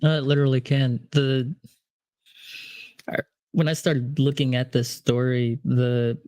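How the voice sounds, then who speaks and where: A man hosts a casual podcast conversation at an ordinary pitch. He rambles, stacking fillers and restarting his sentences. The recording is dry and close. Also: level tone, a little slow, dark tone, no room sound. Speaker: podcast host.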